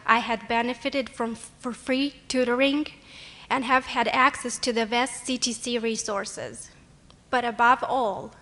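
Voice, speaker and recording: A young woman delivers a prepared speech from a podium. Her voice sits a little high and rings bright and crisp. She reads carefully in a formal, earnest way into the microphone. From Moldova. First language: Romanian. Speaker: award nominee. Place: luncheon hall.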